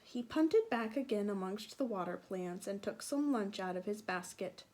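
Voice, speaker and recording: A woman reading a picture book aloud for children, slowly and deliberately, with sing-song emphasis. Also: mid-range voice, animated, darkish tone. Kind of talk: read-aloud children's storytelling.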